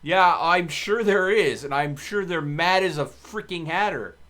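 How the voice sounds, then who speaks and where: A man's voice: fairly high pitch, measured pace, pushed and bright. A man streaming a video game and bantering casually with a co-player in a wordy, argumentative, exasperated way.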